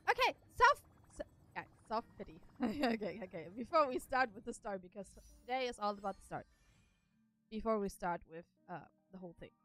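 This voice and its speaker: A young woman with a German accent chats through gaming commentary, her fairly high voice bouncing with lots of melody. She explains things casually with plenty of fillers, and faint game music plays underneath. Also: a bit bright, dry recording. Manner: chatty explainer, many fillers. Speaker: gaming streamer.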